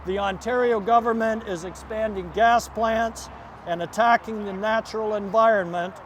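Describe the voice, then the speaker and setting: A man reads a formal protest statement aloud outdoors, emphatic and deliberate. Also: high-pitched. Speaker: activist reading an open letter. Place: outdoors at an office-front protest. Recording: outdoor street noise and traffic.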